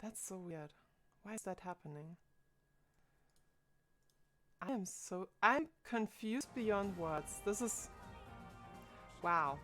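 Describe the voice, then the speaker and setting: A woman's voice: medium pitch, animated, slow pace, pauses often, bright, forceful tone, soft background music. A woman in her twenties streaming live from home, chatting casually and thinking aloud into a desk microphone as she puzzles over her setup. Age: 20s.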